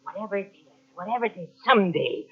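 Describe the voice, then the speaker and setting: An actor playing a haunted Frenchman descending into madness in an old radio horror drama, halting and melodramatic, with repeated broken phrases. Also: high-pitched, breathy edge. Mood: hysterical. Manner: halting, breathless repetitions, melodramatic. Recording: muffled old radio drama cassette transfer.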